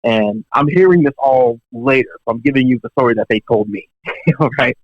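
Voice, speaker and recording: A young man, a professional drummer, telling career stories in a relaxed, chatty way with lots of fillers and asides. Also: medium pitch, normal speed, no echo. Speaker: professional touring drummer, podcast guest. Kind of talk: casual storytelling in an interview. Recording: dull, dry lo-fi podcast audio.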